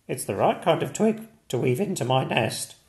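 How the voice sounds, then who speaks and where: A man's voice: mid-range voice, very animated, moderate pace, darkish tone, voice pushed up. A man voicing a prim swan admiring a twig for her nest in a storybook. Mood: pleased.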